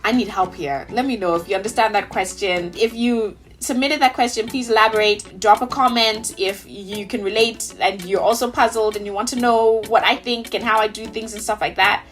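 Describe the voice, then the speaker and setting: A woman's voice: mid-range voice, moderate pace, hardly any pauses, a bit bright, faint music behind. A woman in her thirties from Tanzania, chatting earnestly and casually to her vlog audience. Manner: earnest, chatty, many "you know"s. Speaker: vlogger.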